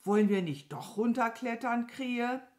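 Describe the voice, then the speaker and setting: A woman voicing a carefree, good-natured, childlike cow in a children's story, with a naive and unhurried manner. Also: on the high side, a little mellow, pitched above the performer's own voice, close to an everyday voice. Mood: gently pleading. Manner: naive, unhurried, moos before speaking.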